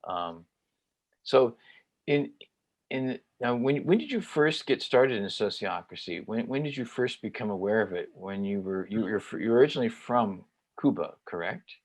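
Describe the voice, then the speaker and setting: Halting, low-voiced male host. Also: animated, dry recording. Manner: halting, searching for words. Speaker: show host. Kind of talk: conversational interview.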